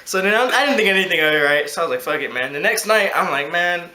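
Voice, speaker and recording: A young American man telling a story casually and excitably in slang, often with laughter in his voice. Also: sits high, fast. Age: young adult. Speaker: vlog co-host telling a personal story. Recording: echoey room sound.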